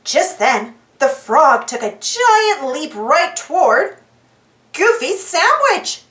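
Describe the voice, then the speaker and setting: A woman reading a children's storybook aloud as narrator in an animated style. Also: sits high, takes it slowly, roomy sound.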